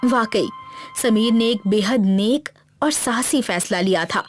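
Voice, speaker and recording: A woman's voice: fairly high pitch, unhurried. A woman reading a scripted, dramatic story as its narrator. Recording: music bed behind the voice.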